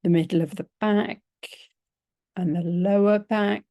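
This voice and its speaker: A woman teaching yoga nidra, leading a guided relaxation in a gentle, soothing, unhurried manner with long pauses. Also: medium pitch, fairly even intonation, no echo, lo-fi sound.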